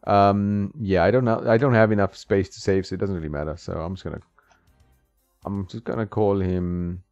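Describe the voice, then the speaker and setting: Low-voiced man chatting over a retro game. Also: fairly even intonation, moderate pace, darkish tone, dry recording. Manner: chatty, laughs at the game, talks to the screen. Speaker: gaming channel host. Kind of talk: casual gameplay commentary. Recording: slightly dull, dry sound with game music behind.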